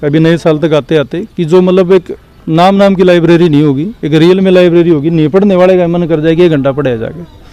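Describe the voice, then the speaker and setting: Earnest village head, dark tone. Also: male voice, a little high, brisk. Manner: earnest, explains with examples, restarts phrases. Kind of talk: interview answers.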